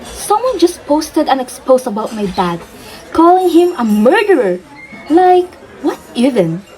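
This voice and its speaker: A woman's voice: sits high, big pitch swings, slow pace, warm tone, exaggerated voice, rough recording. A woman playing a dramatic, easily rattled socialite daughter in a radio drama, with valley-girl phrasing. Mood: indignant, rattled.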